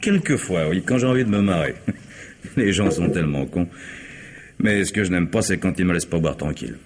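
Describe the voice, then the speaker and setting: An actor playing a cynical, mocking vagabond in a vintage radio drama, with a wry, laughing way of talking. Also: male voice, sits low, expressive, brisk, background noise. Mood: sardonic. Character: sardonic cynical male vagabond tramp.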